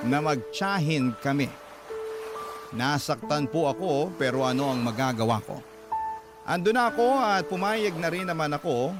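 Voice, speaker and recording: Filipino male drama narrator over music. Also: medium pitch. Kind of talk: first-person dramatic letter narration. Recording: music bed behind the voice. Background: background music.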